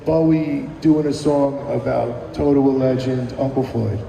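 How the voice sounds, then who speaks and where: A man's voice: medium pitch, steady tone, measured pace, vocal fry, dark tone, slight background noise. A man guesting on a radio show, chatting casually and rambling with many ums and restarts. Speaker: musician guest. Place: live broadcast at a venue. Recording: roomy live venue audio from far off.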